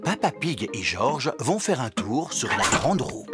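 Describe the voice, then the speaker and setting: A man's voice: medium pitch, big pitch swings, measured pace. A man narrating a children's cartoon in a scripted storytelling style. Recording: loud music under the voice.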